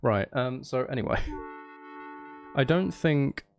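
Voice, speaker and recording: Animated man livestreamer, slightly creaky voice. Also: medium pitch, takes it slowly. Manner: rambling, thinking aloud, chatting with viewers. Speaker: livestreamer making music live. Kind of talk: casual livestream chat. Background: music and synth sounds.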